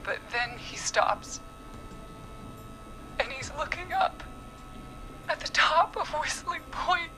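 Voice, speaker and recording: A woman's voice: high voice, expressive, takes it slowly, pauses often, sharp tone, faint music behind, heavily acted, poor audio. An actress playing a vengeful, unstable young woman in a video game, dramatic and bitter, often dropping into whispers. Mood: haunted.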